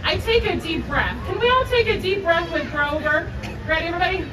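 Noisy outdoor crowd, recorded from afar, young woman, children's story host, high animated voice, projecting. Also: fairly quick, roomy sound, distant mic. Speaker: theme park story time host. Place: outdoor theme park stage. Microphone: audience phone camera from several meters.

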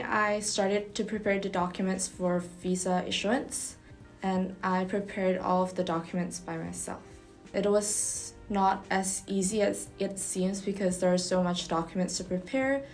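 A calm young woman speaks softly and in measured phrases about her year. She is seated in a university lobby facing the camera. Faint background music plays behind her. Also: on the low side. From Indonesia. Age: young adult. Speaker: university student. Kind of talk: interview to camera. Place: indoor university building lobby.

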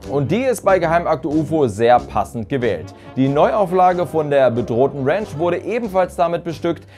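A man in his thirties presenting a scripted review in measured, formal phrasing. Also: fairly high pitch, sing-song, a little mellow, soft background music. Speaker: reviewer, channel host. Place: small furnished room indoors.

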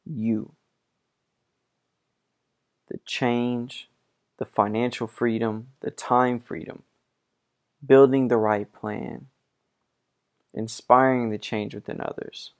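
A woman's voice: rumbling low, creaky. A woman in her twenties from Texas, reading an earnest, inspirational promo script. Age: 20s. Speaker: participant in a promo video. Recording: music bed behind the voice. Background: music.

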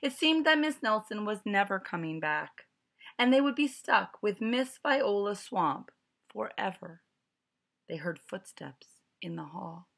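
A woman's voice: mid-range voice, animated, unhurried, vocal fry, a bit bright. A middle-aged woman reading a picture book aloud to children at home, recorded on a webcam. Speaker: storyteller. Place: small furnished room at home. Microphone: webcam at arm's length.

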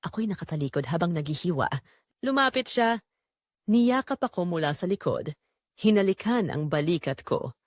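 Woman acting a longing, guilt-torn mother-in-law, swooping pitch. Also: big pitch swings, dry recording, studio quality. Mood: yearning, ashamed. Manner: deliberate, emotive, short dramatic phrases. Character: guilt-torn, longing middle-aged mother-in-law confessing secret desire. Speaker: narrator of an audio drama. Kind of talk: dramatic first-person scripted narration.

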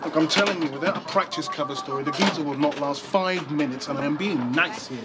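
An actor playing a blunt, sceptical, streetwise undercover police sergeant in a naturalistic crime drama, using slangy, challenging talk. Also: male voice, fairly high pitch, fast talker, very noisy, natural voice. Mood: blunt, wary.

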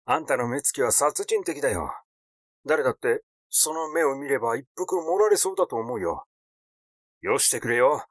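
A man's voice: medium pitch, lively intonation, normal speed, no echo, clean studio sound, close to an everyday voice. A man voicing a glib fifty-year-old artist in a story, nervously defiant, protesting in chatty, casual speech. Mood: frightened protest.

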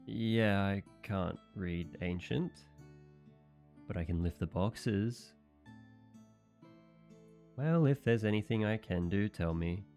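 A man voicing a loyal, dim-witted young knight in an audio roleplay, earnest and naive, over soft music. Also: lowish voice, slow, pauses often. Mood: cheerful. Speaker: voice actor.

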